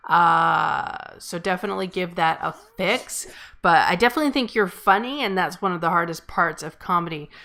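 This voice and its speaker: A woman's voice: lowish voice, normal speed, vocal fry, sharp tone. A woman hosting and giving casual script feedback in an encouraging but frank way. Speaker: host and amateur screenwriter giving feedback.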